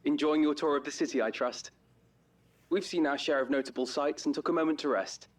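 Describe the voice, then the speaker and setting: A man's voice: fairly high pitch, a little fast, lightly acted. A man voicing a polite, formal young adventurer in a video game, sounding a little distant. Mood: courteous. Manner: courteous, formal.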